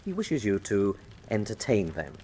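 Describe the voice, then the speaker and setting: An actor playing an urbane, sardonic villainous colonel in a radio drama, suave, theatrical and mocking in manner. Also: male voice, lowish voice, sing-song, normal speed, mellow. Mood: sardonic, courteous.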